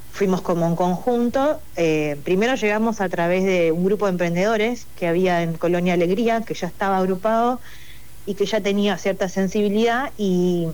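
A woman's voice: lowish voice, a little mellow, clear, resonant tone. A woman with a Buenos Aires accent, explaining a project in an interview, hesitant, with many fillers and restarts. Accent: Buenos Aires Spanish. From Buenos Aires, Argentina. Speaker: project coordinator. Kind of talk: interview conversation.

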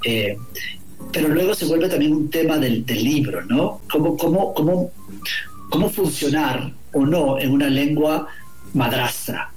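A man's voice: on the high side, a little slow, over music. A middle-aged man from Guatemala, an author being interviewed, speaking reflectively and often repeating words as he searches for the right phrasing. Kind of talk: reflective conversation. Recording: roomy echo.

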